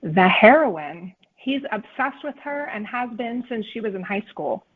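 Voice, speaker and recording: A woman's voice: on the high side, lively intonation, measured pace. A woman author giving fluent, concise answers in a conversational interview. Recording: slightly dull, band-limited audio.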